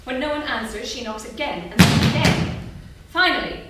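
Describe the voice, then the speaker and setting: A woman's voice: sits high, moderate pace, loud music behind the voice. A woman narrator reading scripted stage directions at a staged play reading. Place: small theatre, staged reading. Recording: echoey theatre, distant mic, clear noise.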